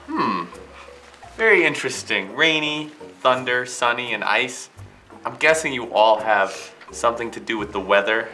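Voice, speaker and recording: A man playing a thoughtful, welcoming medieval fletcher in amateur children's theater, speaking deliberately. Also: medium pitch, lively intonation, bright, forceful tone, soft background music, poor audio. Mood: musing.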